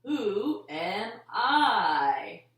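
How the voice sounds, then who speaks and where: A woman voicing an earnest, formal male statesman in a children's puppet show, measured and emphatic. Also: medium pitch, sing-song. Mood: solemn. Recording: roomy echo, distant mic.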